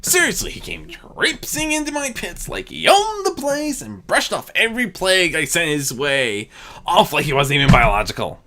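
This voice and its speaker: An irritable demon prince of plagues from a storybook, as a narrator plays him. He grumbles and schemes, his pitch swinging widely as he talks. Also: huge pitch swings, moderate pace, pushed and bright, a little hiss or hum. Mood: irritated.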